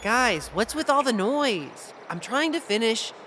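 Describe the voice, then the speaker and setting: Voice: mid-range voice, big pitch swings, measured pace, noisy background. A woman playing an eager, proud inventor who shows off handiwork in an audio drama. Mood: excited. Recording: music bed behind the voice.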